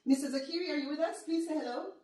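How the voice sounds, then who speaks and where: A woman with a high voice hosts an online panel in measured, halting phrases. She sounds distant from the microphone, and the room echoes heavily around her words. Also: a little mellow. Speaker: moderator of a panel webinar. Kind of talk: hosting a formal online panel discussion. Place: indoor room on an online call.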